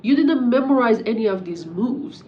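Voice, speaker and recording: A woman's voice: a little high, animated, normal speed, muffled recording, slight background noise. A young woman whose first language is Persian gives an earnest, encouraging English lesson to camera, with casual fillers. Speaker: English teacher. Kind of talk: talking-head English lesson.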